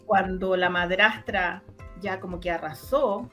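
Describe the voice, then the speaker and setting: A woman's voice: lowish voice, fairly even intonation, measured pace, a bit bright, soft background music. A woman with a Chilean Spanish accent recounting her memories as an interview guest in casual talk, repeating words for emphasis. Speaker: interview guest, radio drama producer. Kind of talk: casual conversational recollection.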